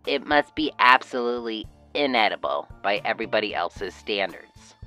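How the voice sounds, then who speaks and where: A woman's voice: extremely low pitch, animated, normal speed, pushed and bright, faint music behind, exaggerated voice. A woman voicing a stern, exasperated teenage boy, blunt and scolding, in an amateur cartoon dub.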